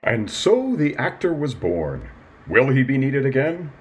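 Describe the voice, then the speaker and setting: A man's voice: mid-range voice, big pitch swings, measured pace. A man acting a dramatic announcer narrating a radio serial in a portentous manner. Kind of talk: scripted radio play narration.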